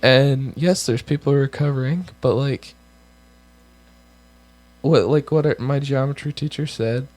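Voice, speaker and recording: A man's voice: medium pitch, a little slow, pauses often, a little mellow. Teen guy losing his voice. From United States. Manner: offhand, joking asides, says "like" a lot. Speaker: co-host. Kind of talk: casual chat, reading guidelines aloud.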